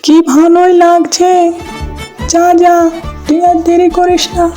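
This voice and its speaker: Cartoon landlord ghost, extremely high-pitched, slow monotone delivery, imperious and vain. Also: female voice, soft background music. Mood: delighted, generous. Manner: pompous, imperious, easily flattered. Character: ghost of a vain, generous old village landlord. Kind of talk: cartoon voice acting.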